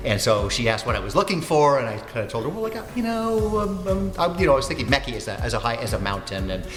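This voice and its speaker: A man's voice: mid-range voice, sing-song, quick pace, fairly bright tone. A man in his sixties, a winery owner and winemaker whose first language is Finnish, giving casual, rambling interview answers full of fillers and self-corrections. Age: 60s. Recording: echoey room with long reverb and music.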